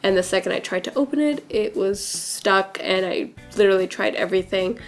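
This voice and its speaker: Creaky-voiced woman, chatting casually. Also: medium pitch, brisk, soft background music. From born in Portugal, raised in California from about age four. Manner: chatty, informal, peppers talk with "like" and "literally". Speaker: hairdresser and beauty vlogger answering questions.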